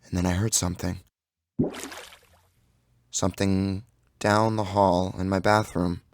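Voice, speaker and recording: A man's voice: low voice, flat delivery, measured pace, noisy background. A man giving a theatrical, suspenseful first-person narration of a horror story. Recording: loud music under the narration. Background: loud music.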